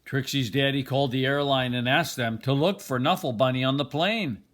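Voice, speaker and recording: An older man reading a children's picture book aloud, expressively and deliberately, with dramatic pauses. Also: mid-range voice, fairly bright tone. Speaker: storybook reader.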